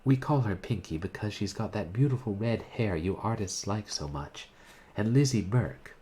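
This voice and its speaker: A man's voice: fairly low pitch, animated, moderate pace, mellow, slight background noise, pitched above the performer's own voice, lightly acted. A man voicing a chatty young woman who works as an artist's model. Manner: bubbly, gossipy chatter.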